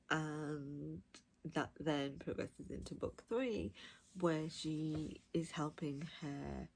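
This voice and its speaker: A woman's voice: low-pitched, gravelly, a little mellow, low-quality recording. A woman giving a casual, chatty book review with gushing, emphatic enthusiasm. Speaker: audiobook reviewer.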